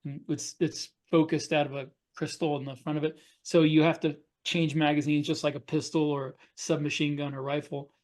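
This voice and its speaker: Higher-voiced man explaining in pieces. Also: flat delivery, moderate pace, hardly any pauses, darkish tone. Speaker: science fiction author on a panel podcast. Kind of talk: casual panel discussion.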